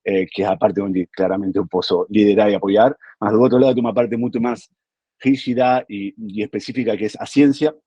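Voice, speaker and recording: A man from Argentina with an Argentine accent, speaking as a panelist in a relaxed, explanatory way over a video call, with frequent filler hesitations. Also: lowish voice, brisk, breathy edge, no room sound, muffled recording. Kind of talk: panel talk.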